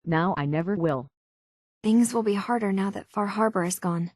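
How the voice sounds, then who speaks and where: An actress playing an earnest, troubled young woman searching for answers in a video game, speaking in a halting, hushed way. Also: medium pitch, no echo, clean studio sound, lightly acted. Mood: regretful, subdued.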